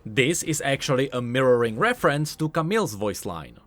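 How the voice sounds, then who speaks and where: A man's voice: mid-range voice, sing-song, fairly quick, fairly bright tone. A man giving scripted explainer commentary as a video host, in an explanatory, emphatic manner.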